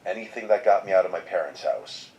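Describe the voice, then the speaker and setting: Male narrator, deep voice, steady scripted read of a horror story. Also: quick pace, lo-fi sound. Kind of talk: scripted first-person narration.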